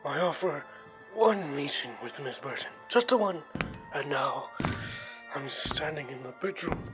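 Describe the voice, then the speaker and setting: A man playing a proper, irritable young academy man in a narrative podcast drama, with formal, measured phrasing. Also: fairly high pitch, lively intonation, low-quality recording. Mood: weary, irritated. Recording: background music under voice.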